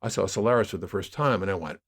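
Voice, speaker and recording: A man in his sixties talking as an interview guest, telling stories conversationally in a halting, emphatic way as he thinks aloud. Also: low-pitched, a bit bright, slightly rough. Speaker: podcast guest, audio drama producer.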